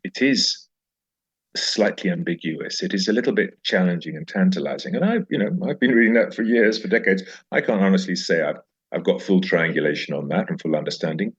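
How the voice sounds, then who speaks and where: Deep-voiced, clear-spoken man in literary conversation. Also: brisk, highs cut off. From South Africa. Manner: emphatic, with repeated phrases and rhetorical questions. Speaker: podcast guest discussing a poem. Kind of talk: animated conversational literary discussion.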